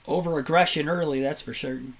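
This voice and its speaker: Man, hoarse, raspy, slightly nasal, high-pitched, energetic play-by-play race commentary. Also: quick pace. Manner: energetic, chatty, self-correcting. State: hoarse from sinus congestion. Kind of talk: amateur play-by-play commentary over a race broadcast.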